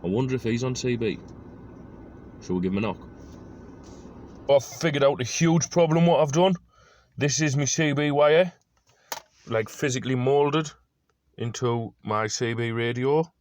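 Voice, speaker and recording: A man's voice: medium pitch, unhurried, long pauses, a little mellow. A man giving a casual, self-deprecating hands-on demonstration, thinking aloud in an informal way as he works in a car. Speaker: car DIY video host. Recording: clear background noise.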